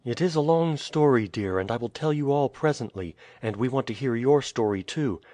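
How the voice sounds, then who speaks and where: A man's voice: medium pitch, lively intonation, normal speed, mellow, no room sound, pitched above the performer's own voice, close to an everyday voice. An earnest, grieving young man who speaks in a solemn tone, a little raised from the reader's own voice, as a male narrator puts him on. Dry, close reading with no room sound. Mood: reassuring.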